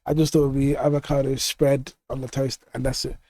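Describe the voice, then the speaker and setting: A man tasting dishes, chatting casually with quick interjections. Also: medium pitch, flat delivery, warm tone, no echo, clean studio sound. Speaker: taster. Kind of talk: casual chat.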